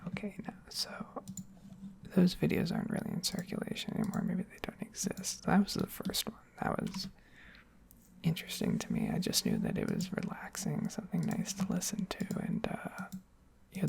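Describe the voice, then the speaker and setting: A young woman talks in a soft, breathy half-whisper for ASMR. Her voice often dips into creaky fry and swings widely between hushed and voiced tones. She rambles reflectively, pausing and drifting into asides. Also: deep voice. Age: young adult. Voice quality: breathy, mostly whispered, occasionally cracking. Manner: hesitant, reflective, wandering asides. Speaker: ASMR video creator. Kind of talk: soft-spoken ASMR, rambling personal talk.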